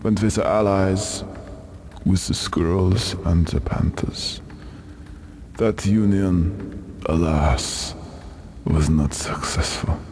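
An ancient aristocratic vampire from a video game, speaking slowly and very low. His Transylvanian accent is theatrical, his manners courtly and formal, with gloom and menace under every phrase. Noise runs behind the voice. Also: a little mellow. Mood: wistful, brooding. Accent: mock Transylvanian. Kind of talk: video game cutscene acting.

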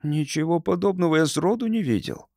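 A man's voice: a little high, lively intonation, fairly quick, mellow, no echo, studio quality, voice pushed up. A man voicing an eager, inquisitive engineer neighbour who questions and explains in an audiobook story. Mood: excited curiosity. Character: agitated, inquisitive male engineer neighbour, technically minded. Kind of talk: audiobook character acting.

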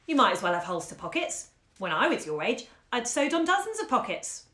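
A woman's voice: a little high, sing-song, a little fast, sharp tone, voice pushed up. A woman voicing a teasing young male college student who rattles off mock-serious nonsense at speed. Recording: roomy echo.

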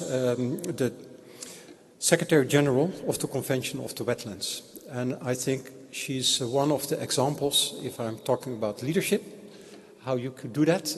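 A man giving a ceremonial speech and introducing a guest speaker, measured and hesitant, with frequent fillers. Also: mid-range voice. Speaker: institute representative introducing speaker. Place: large old church. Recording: long church reverb.

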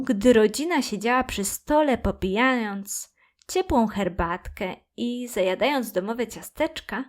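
A woman narrating a children's story in a calm, gentle, careful way. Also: a little high.

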